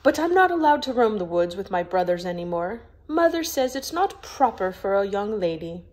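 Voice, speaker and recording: A woman's voice: medium pitch, sing-song, normal speed, a little mellow, lightly acted. A woman voicing a proud, stiff young lady in a storybook, with a prim, formal, scolding manner. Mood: stiff, hurt.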